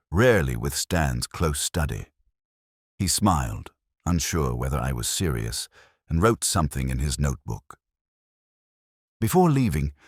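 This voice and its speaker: A man voicing a brooding, world-weary older detective, narrating a mystery audio drama in a measured, literary, wry manner. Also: extremely low pitch, no echo, clean studio sound, exaggerated voice. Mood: reflective. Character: brooding, world-weary male Oxford detective recalling an old case.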